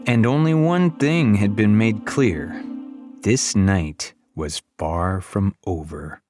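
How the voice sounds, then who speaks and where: A man's voice: on the low side, slow, a little mellow, soft background music. A man reading scripted fantasy fiction as an audiobook narrator.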